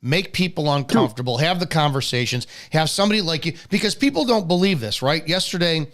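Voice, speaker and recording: A man's voice: on the high side, animated, fast talker, fairly bright tone. An American man hosting a live talk show in a blunt, profane conversational manner. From United States. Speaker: host of a law enforcement talk show.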